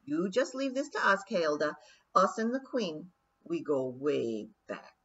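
A woman voicing a fierce, cocky little male pixie chieftain with a put-on Scottish accent, loud and gruff. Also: fairly low pitch, very animated, unhurried, heavily acted. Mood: defiant. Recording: dull lo-fi recording.

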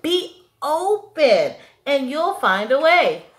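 A woman's voice: high voice, big pitch swings, slow pace, pitched above the performer's own voice, exaggerated voice. A woman voicing a wise, encouraging number seven from a children's storybook, giving kindly, emphatic advice. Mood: reassuring.

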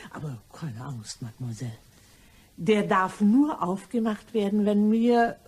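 A woman's voice: fairly low pitch, slightly rough, full character voice. Woman acting sly, ingratiating cleaning lady. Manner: ingratiating, mock-innocent, deliberate. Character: sly, chatty cleaning lady turned blackmailer, feigning servile politeness. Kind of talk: German crime radio play acting.

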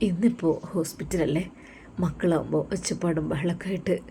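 A woman voicing a gentle, caring husband who speaks softly and tenderly to his wife. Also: fairly low pitch, steady tone, fairly quick, slight background noise, lightly acted. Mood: soothing. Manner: soft, tender, reassuring.

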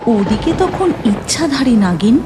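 A woman's voice: on the high side, sing-song, a little slow, over music. A woman narrator reading a scripted fairy tale for a cartoon.

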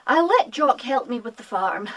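An actress playing a good-natured country farm wife in a children's audio drama, husky and homely. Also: female voice, on the high side, sing-song, moderate pace. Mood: homely relief.

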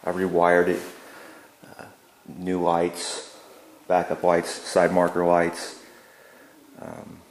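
A man giving a relaxed, plain-spoken DIY walkthrough in a garage, with lots of ums and uhs, recorded on a handheld camera. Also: sits low, takes it slowly, reverberant, poor audio. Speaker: DIY builder showing his work. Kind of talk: casual how-to walkthrough. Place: indoor garage.

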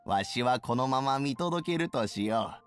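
A man voicing a dignified, approving old judge with measured, old-fashioned elderly speech in a Japanese game. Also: medium pitch, expressive, clean tone, faint music behind, natural voice. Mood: calm approval. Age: older.

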